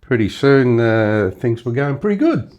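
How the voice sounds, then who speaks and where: A man's voice: medium pitch, very animated, normal speed, a little mellow, highs cut off. A man in his seventies from Western Australia with an Australian accent, telling stories of his childhood in a casual interview, rambling with many fillers and restarts. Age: 70s. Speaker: interview guest.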